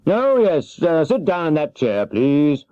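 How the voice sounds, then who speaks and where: A man's voice: fairly high pitch, very animated, measured pace, mellow, dry recording, pitched above the performer's own voice. A man voicing a polite, obliging little humbug wizard in a children's storybook reading. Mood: brisk, polite. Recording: dull, lo-fi cassette transfer.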